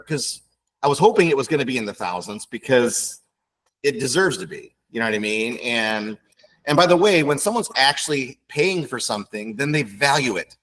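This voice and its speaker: A man in his fifties hosts a casual interview, talking in loose, rambling runs. His bright, forward voice keeps returning to friendly asides and praise for his guest. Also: medium pitch, rough recording. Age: 50s. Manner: rambling, effusive, many fillers like you know what I mean. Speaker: podcast host.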